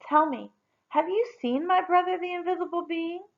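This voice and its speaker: Woman reader as a wise kindly sister, raised pitch, wondering emphatic manner, storybook telling, lo-fi sound. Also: moderate pace, creaky. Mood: gentle, probing. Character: wise, gentle, warm-hearted sister of a spirit being.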